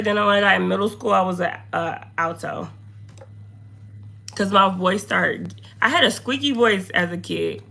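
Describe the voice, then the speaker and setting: A young woman with a hoarse voice chatting casually with her viewers while reading their comments. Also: pushed and bright. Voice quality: low, slightly deep voice. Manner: chatty, talks to viewers, drifts off topic. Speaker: makeup streamer.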